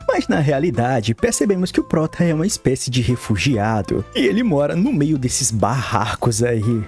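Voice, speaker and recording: Animated Northeastern Brazilian man recapping comics. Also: mid-range voice. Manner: slangy, joking. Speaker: recap narrator. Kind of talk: informal comic recap storytelling. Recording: voice over background music. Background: background music.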